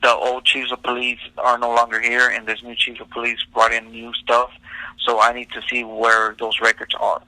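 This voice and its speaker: A man's voice: mid-range voice, completely flat delivery, moderate pace, pushed and bright, dry recording. A man speaking as a police officer on a phone call, explaining policy in a formal, polite but defensive way. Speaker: school district police officer. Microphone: telephone.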